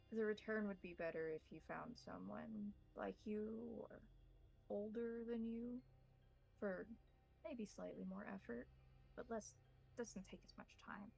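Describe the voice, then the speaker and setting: Woman playing the gardener, a measured, scholarly vampire elder. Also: medium pitch, creaky, rough recording. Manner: measured, formal, academic phrasing.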